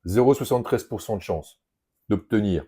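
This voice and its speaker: A man's voice: fairly low pitch, moderate pace, dark tone, breathy edge. A middle-aged man co-hosting a casual card unboxing, joking and rambling with fillers. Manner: jokey, chatty, rambling with fillers. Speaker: shop co-host opening card packs.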